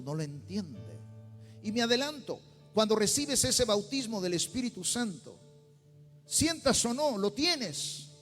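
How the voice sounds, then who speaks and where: A man's voice: sits high, fairly bright tone, big-room sound. A male pastor with a Peruvian Spanish accent, preaching a sermon with rising intensity and bursts of speaking in tongues. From Peru. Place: church sanctuary.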